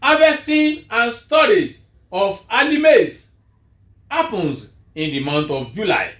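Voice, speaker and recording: A man, a Yoruba speaker, giving a measured, emphatic farm advisory talk as host of a farming show. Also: high voice, big pitch swings, fairly bright tone, reverberant. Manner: measured, emphatic, rising then falling at each phrase.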